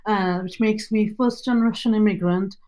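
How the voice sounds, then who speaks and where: A woman from Nepal, a nonprofit founder whose first language is Nepali, giving an earnest pitch presentation with occasional fillers and restarts. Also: steady tone, moderate pace, mellow.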